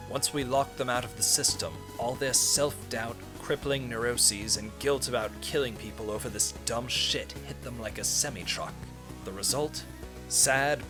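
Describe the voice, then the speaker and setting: A man's voice: medium pitch, lightly acted. A man voicing a cold, haughty female scientist in a comedic game parody, speaking in an articulate, condescending, clinical way.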